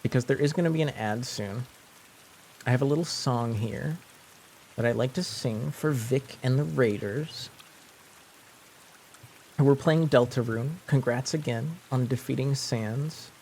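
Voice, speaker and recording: Slow-talking man streaming casually. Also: medium pitch, vocal fry, a little mellow, slight background noise. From French-speaking. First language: French. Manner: rambling, chatty, many fillers and restarts. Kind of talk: casual live-stream chat.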